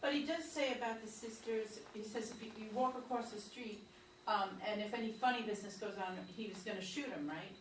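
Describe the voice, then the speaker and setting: A woman with a fairly high voice thinks out loud in a post-reading discussion, tentative and feeling her way toward an idea. She is recorded from a distance in an echoey room. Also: fairly quick, slight background noise. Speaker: audience member giving feedback. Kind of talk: group discussion after a play reading.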